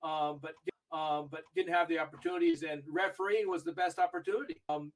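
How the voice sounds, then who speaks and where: An older man with a fairly high voice talks in a chatty, storytelling way as a podcast guest. He grew up in Argentina and perks up when recalling famous matches. He sits a little back from the microphone, so he sounds slightly distant. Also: steady tone, fairly quick. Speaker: interview guest, video review manager. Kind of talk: casual podcast interview.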